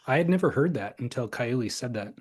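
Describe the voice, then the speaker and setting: A man's voice: medium pitch, expressive, brisk, a little mellow, husky. A man hosting a surf podcast, chatting casually and easygoing over a video call. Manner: easygoing, conversational with fillers. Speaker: podcast host. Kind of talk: casual chat.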